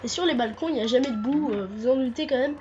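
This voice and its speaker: High, nasal woman with a cold. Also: fast, darkish tone, highs cut off, slight background noise. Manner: hesitant, many fillers and restarts. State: stuffy nose from a cold. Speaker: gameplay commentator. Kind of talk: casual walkthrough commentary.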